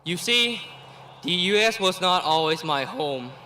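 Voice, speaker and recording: A man's voice: high-pitched, expressive, sharp tone, slight background noise. Earnest young man. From Vietnam. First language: Vietnamese. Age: late teens. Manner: earnest and deliberate, with small laughs between phrases. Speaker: graduating student giving the commencement speech. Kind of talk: reading a prepared commencement speech.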